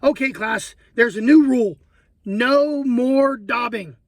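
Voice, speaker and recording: A man voicing a patient, gentle female swimming teacher in a children's storybook, polite and encouraging. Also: extremely high pitch, takes it slowly, no echo, heavily acted. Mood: firm.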